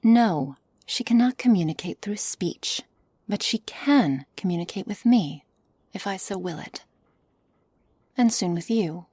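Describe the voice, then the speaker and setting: A woman playing a haughty, condescending witch in an ASMR roleplay, speaking softly in measured, formal phrases with a commanding manner. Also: medium pitch, animated, slightly rough, no echo. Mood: condescending, cool. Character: cold, haughty witch, imperious captor of a werewolf. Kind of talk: ASMR roleplay, scripted character acting. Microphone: close studio mic, dry.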